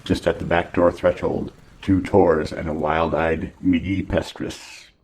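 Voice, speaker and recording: Deep, even-toned male serial narrator. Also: unhurried, husky. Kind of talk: scripted pulp serial narration. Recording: loud music behind narration.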